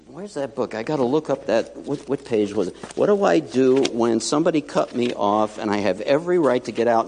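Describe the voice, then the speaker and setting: A male pastor preaching a sermon in a church, animated and joking, with sudden loud and hushed asides. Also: mid-range voice, a little hiss or hum. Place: church sanctuary. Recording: long hall reverb.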